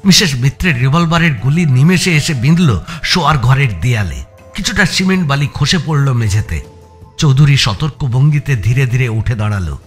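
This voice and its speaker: A man's voice: mid-range voice, animated, normal speed, faint music behind. A man with an Indian Bengali accent giving a dramatic first-person audiobook narration of a story.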